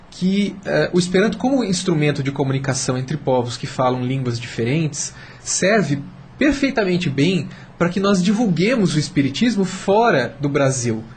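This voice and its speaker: A man's voice: mid-range voice, a little mellow, a little hiss or hum. A Brazilian man explaining earnestly and conversationally as a guest on a radio panel, sometimes posing rhetorical questions.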